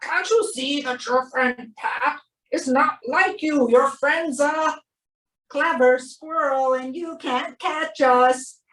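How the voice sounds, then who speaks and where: A woman reading aloud to children in an expressive storybook style, drawing out key words for emphasis. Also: sits high, slow pace. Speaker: animal shelter presenter reading a picture book. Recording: roomy, distant microphone.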